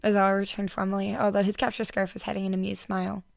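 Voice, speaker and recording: A woman's voice: mid-range voice, little pitch movement, fast talker, creaky, no room sound, clean studio sound. A young woman reading story narration for an audio fan fiction while sick. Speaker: podfic narrator.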